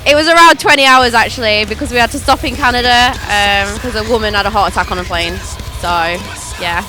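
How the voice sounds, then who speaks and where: A woman's voice: a little high, brisk, bright, forceful tone, soft background music, low-quality recording. A woman from England chatting casually in an outdoor street interview, trailing off at the ends. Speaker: festival attendee. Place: outdoor parking lot.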